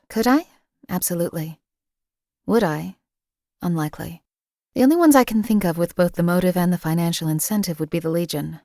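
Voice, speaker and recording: An actress playing a cold, detached female assassin companion in a game, speaking in a calm, clipped, deadpan way. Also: fairly low pitch, no room sound, studio quality, lightly acted. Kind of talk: game voice lines.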